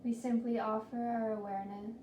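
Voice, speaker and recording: A woman leading a guided body scan meditation in a gentle, soothing, deliberate manner with long pauses. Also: fairly high pitch, little pitch movement. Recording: roomy, distant mic with faint noise.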